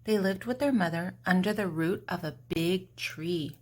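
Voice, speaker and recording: Lower-voiced woman reading a children's storybook. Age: older. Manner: deliberate, with dramatic pauses and emphasis. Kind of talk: expressive children's storybook narration.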